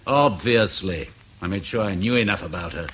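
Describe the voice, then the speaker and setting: A man's voice: sits low, normal speed, a bit bright, slight background noise. An actor in radio drama playing a defensive spy in his late forties, curt, sardonic and guarded. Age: 40s. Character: bitter, defensive veteran British spy, aged forty-nine.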